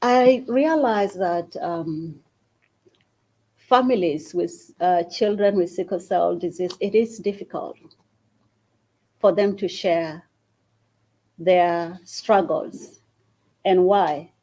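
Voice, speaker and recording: A woman from Uganda, the founder of a small charity, gives an earnest speech at an event and makes an appeal with long pauses. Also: lowish voice, dark tone, rough recording. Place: event hall at a university.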